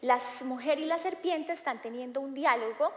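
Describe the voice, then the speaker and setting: Thirtyish woman preacher with a somewhat high voice, talking casually from the pulpit, recorded with heavy room echo. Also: normal speed. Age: 30s. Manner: conversational, frequent filler "eh" and "¿no?". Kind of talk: sermon. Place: church hall. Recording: echoey hall with long reverb.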